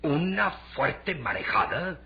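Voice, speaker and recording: A man's voice: on the high side, big pitch swings, takes it slowly, vocal fry, heavily acted. A man playing a shrewd middle-aged shipping magnate in a Latin American Spanish radio drama, theatrical and probing. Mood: excited. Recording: dull, lo-fi radio drama recording.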